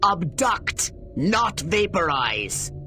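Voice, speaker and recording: A man's voice: sits high, sing-song, very slow, pushed and bright, soft background music, heavily acted. A man playing a scheming, pompous alien commander in a sci-fi comedy game, lecturing impatiently with precise, theatrical diction. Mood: exasperated.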